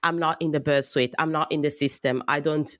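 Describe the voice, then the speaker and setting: A woman in her thirties speaks English with an Italian accent in a relaxed, easy way, laughing often as she talks. Her voice sits very low for a woman. The recording is close and dry, with almost no room sound. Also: brisk, dry recording. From Italy. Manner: laughs often, relaxed non-native phrasing. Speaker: guest, birth coach. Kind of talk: casual interview chat.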